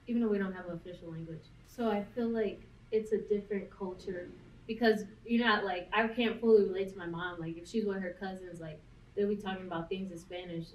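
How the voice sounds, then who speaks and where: A woman's voice: mid-range voice, a little mellow. A young woman from the United States, talking thoughtfully and casually with many "um"s and "like"s. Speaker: guest. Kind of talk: casual conversation. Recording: roomy, distant with faint noise.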